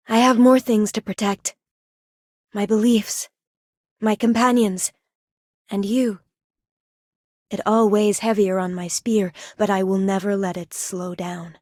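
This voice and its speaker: A woman's voice: medium pitch, a little mellow, no echo, clean studio sound, close to an everyday voice. A woman voicing a proud, resolute knight sworn to protect others, in scripted video game lines. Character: proud, devout female spear-wielding knight, resolute. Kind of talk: scripted game character voice line.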